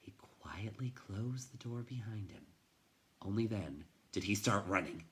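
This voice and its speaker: A man reading a children's novel aloud in an expressive, dramatic way, moving between hushed and intense delivery. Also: lowish voice, measured pace. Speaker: teacher reading a storybook aloud.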